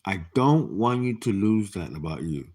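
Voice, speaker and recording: A man in his late fifties giving a casual tarot reading, chatty and animated, with laughing asides and dropped whispers. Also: lowish voice, normal speed. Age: 50s. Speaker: tarot reader.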